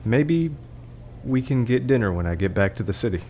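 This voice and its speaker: A man's voice: medium pitch, animated, normal speed, darkish tone, slight background noise, lightly acted. A man playing an earnest, slightly cowardly young mycologist in an audio drama, hesitant in manner. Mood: hopeful, awkward.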